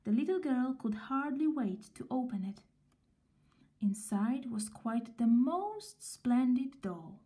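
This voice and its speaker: A woman reading a children's story aloud in a gentle, expressive way, with pauses between phrases. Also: on the high side.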